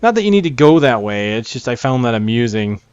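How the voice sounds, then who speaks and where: A man's voice: medium pitch, big pitch swings, a little fast, darkish tone, clean tone, dry recording, highs cut off. Man rambling casually over gameplay. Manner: rambling, thinking aloud. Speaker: gamer presenting a game preview. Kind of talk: casual unscripted gameplay commentary. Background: game music.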